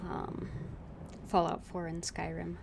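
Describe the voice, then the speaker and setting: A woman's voice: fairly low pitch, completely flat delivery, takes it slowly, creaky, very noisy. A woman game streamer giving casual, rambling commentary, hesitantly thinking aloud.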